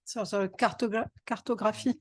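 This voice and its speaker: A woman's voice: mid-range voice, fairly even intonation, moderate pace, breathy edge, dry recording, studio quality. A woman who is not a native English speaker, moderating a discussion with brief unscripted responses. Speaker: session moderator.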